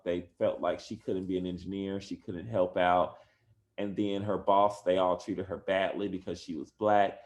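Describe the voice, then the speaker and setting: A man with a lowish voice reads a picture book to children at an unhurried pace. He leans into big, animated rises of emphasis on the story's key lines. Between pages he talks plainly to the kids and parents like a school administrator.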